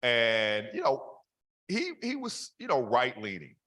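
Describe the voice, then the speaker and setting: A man with a deep voice telling stories animatedly in a casual conversation over a video call, with many fillers. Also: huge pitch swings, unhurried, fairly bright tone.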